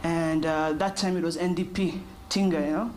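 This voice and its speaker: A woman, thoughtfully answering interview questions in a measured way with short pauses. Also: sits low, steady tone, a little mellow, a little hiss or hum. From Kenya. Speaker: interview guest.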